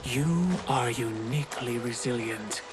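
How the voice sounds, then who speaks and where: An actor playing a mysterious male tempter who speaks in a calm, measured, seductive way, in a video game cutscene. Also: medium pitch, close to an everyday voice. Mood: persuasive.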